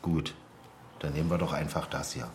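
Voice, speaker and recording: An actor playing a flustered conservative male politician in a radio drama, speaking haltingly with muttered hesitations. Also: a bass voice, little pitch movement, background noise. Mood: flustered, unsure. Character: flustered, conservative older male politician, anti-drug hardliner.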